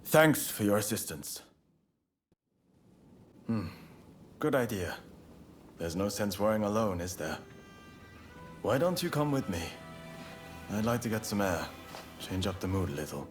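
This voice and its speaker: An actor playing a fervent young samurai rebel haunted by grief, earnest and intense, in a video game cutscene. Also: male voice, fairly low pitch, very animated, unhurried, pauses often, faint music behind. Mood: subdued.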